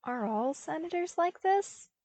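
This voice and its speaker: Woman playing young male apprentice, lifted pitch, earnest, cheeky, informal, expressive, dry close sound, audiobook drama. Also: a little slow, dry recording, exaggerated voice. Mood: weary, exasperated.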